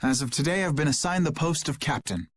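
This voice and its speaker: A man's voice: a little high, fairly quick, slight background noise, close to an everyday voice. Man acting an earnest, formal young knight. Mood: composed. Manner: formal, solemn, sincere. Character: earnest, principled young male knight captain. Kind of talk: video game voice acting.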